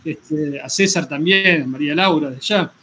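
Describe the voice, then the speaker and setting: Man, high voice, Argentine accent, reflective informal talk, wordy and halting, faint ambient noise. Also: bright, forceful tone. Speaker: workshop teacher, radio host.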